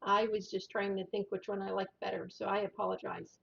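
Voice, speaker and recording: A woman co-hosting a whiskey tasting livestream, talking softly and hesitantly with many fillers, picked up by a microphone above her. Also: mid-range voice, level tone, fairly quick, gravelly. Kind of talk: casual tasting chat. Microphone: overhead microphone above the table. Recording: dull lo-fi overhead mic.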